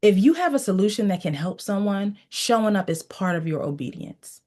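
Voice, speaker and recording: A woman's voice: medium pitch, big pitch swings, normal speed, dark tone. A woman coaching in a motivational teaching session, preaching with repeated phrases and telling listeners to write key lines down. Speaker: mindset coach.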